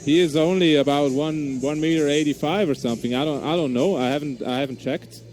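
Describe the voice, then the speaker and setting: Non-native male basketball commentator with a Swiss German accent; a touch high-pitched, halting delivery, soft music under the mix. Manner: hesitant, frequent uhs and restarts. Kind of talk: live play-by-play commentary.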